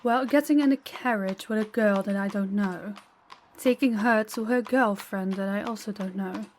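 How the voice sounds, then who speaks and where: A woman playing a gentle, candid young princess in an intimate audio roleplay, soft-spoken and confiding with hesitant pauses. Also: mid-range voice, a little hiss or hum, natural voice. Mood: wry, resigned.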